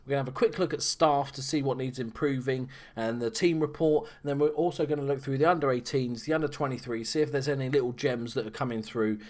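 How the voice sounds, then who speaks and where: This is a young man with a strong Scouse accent, walking his viewers through a football management game. He talks in a relaxed, chatty way, catching and fixing his own slips as he goes. His pitch sits in the middle range. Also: fast talker, few pauses. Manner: chatty, relaxed, self-correcting. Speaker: video game guide host. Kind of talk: casual game commentary over footage.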